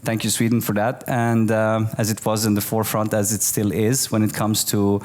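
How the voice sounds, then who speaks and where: A man in his forties from Bosnia, giving a keynote talk to an audience, relaxed and full of fillers and restarts. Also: on the low side, moderate pace. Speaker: keynote speaker. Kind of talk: conference talk. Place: office event space with audience.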